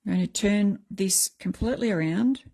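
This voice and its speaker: A middle-aged woman with a croaky voice, chatting casually as she explains a craft technique step by step. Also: mid-range voice, normal speed, mellow. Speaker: craft tutorial host.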